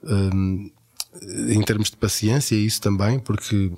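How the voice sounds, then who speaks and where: A man's voice: fairly low pitch, fairly even intonation, unhurried, mellow, breathy edge. A man with a European Portuguese accent talking reflectively in an interview, often searching for his words. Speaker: actor interviewed about parenting.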